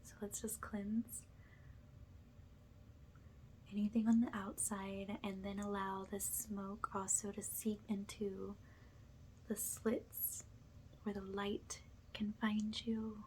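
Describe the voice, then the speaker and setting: A soft-spoken woman who often drops into a whisper as she gently guides an ASMR spiritual cleansing. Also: medium pitch, flat delivery, slow, a little hiss or hum, poor audio. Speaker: ASMR energy healer, host.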